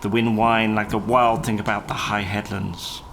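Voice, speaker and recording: A man reading a children's story aloud in a measured, expressive storytelling manner. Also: lowish voice, noisy background. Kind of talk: scripted children's story narration. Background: guitar music.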